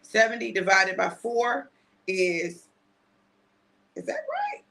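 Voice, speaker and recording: A woman in her fifties, chatty and repetitive, mixing a prophetic word with a casual sales pitch and often asking "right?". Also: huge pitch swings, slow, gravelly, fairly bright tone. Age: 50s. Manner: chatty, repeats phrases, asks "right?". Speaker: preacher selling a product.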